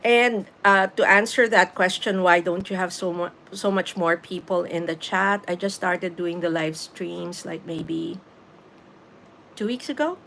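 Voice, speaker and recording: Filipino woman, late fifties, chatty live-stream host, low gravelly voice, faint hiss behind. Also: a little slow, fairly bright tone, rough recording. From Philippines. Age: 50s. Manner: chatty, laughs easily, answers the chat. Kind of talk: casual live stream chat with viewers.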